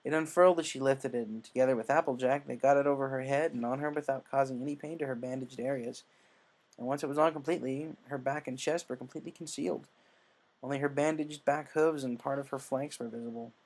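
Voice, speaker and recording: A male reader narrates a written story. His voice sits at an average pitch, and he delivers the scripted prose as a storyteller. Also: fairly even intonation, fast, poor audio. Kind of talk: scripted narration of fan fiction.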